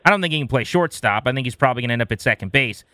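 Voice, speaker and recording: A man's voice: mid-range voice, animated, rapid-fire, pushed and bright, no room sound. A man hosting sports talk radio, posing questions and laying out his analysis.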